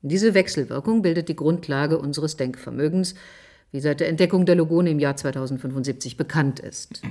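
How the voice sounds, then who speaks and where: Methodical scientist, precise, assertive, low voice, radio drama. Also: female voice, dark tone. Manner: precise, formal, insistent. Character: assertive, methodical female scientist presenting her theory. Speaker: actor in a radio play. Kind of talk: German radio drama acting.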